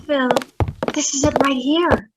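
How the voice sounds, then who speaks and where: A woman's voice: high-pitched, steady tone, a little slow, heavy background noise. An actress playing a sassy, scheming woman with a mouthy, sarcastic manner in 1940s radio detective style. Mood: alarmed. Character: sassy, scheming, jaded female double-crosser.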